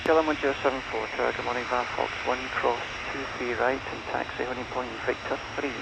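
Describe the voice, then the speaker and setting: A man speaks as an airport tower controller over aviation radio. His voice is very gravelly and his delivery fast and clipped. Loud aircraft noise runs through the transmission. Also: mid-range voice, level tone. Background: aircraft engine noise, airfield ambience.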